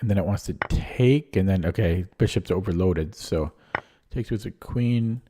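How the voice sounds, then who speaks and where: A man's voice: deep voice, moderate pace, warm tone, husky. A man losing his voice, reviewing his chess game aloud in a casual, hesitant way with frequent ums and restarts. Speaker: chess streamer.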